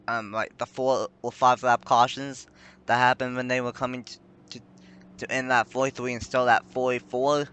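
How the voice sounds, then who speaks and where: A man's voice: medium pitch, bright, forceful tone, a little hiss or hum. A man giving rambling, self-correcting play-by-play commentary on a simulated stock car race. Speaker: sim racing commentator.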